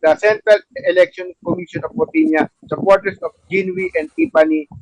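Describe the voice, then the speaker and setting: A man hosting and narrating a play table read, with halting, repetitive phrasing. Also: high voice, steady tone, a little hiss or hum, poor audio. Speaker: show host and stage-direction reader.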